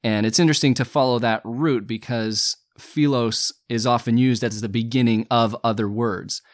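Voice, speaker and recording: A man on a Bible study podcast, explaining Greek word roots in a measured, teacherly way. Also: medium pitch, fairly even intonation, dry recording, studio quality. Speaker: podcast co-host.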